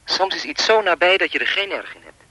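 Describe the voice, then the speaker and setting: A man's voice: high voice, lively intonation, brisk, pushed and bright, dry recording, heavily acted, poor audio. An actor playing a chatty, lewd and menacing anonymous male caller in a radio play, insinuating and mock-polite as he rambles. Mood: taunting, controlled.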